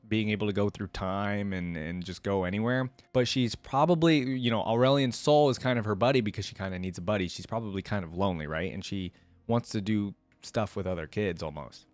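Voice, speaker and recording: A man hosting a reaction video, reading a story aloud and thinking out loud in rambling, casual commentary. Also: medium pitch, no echo.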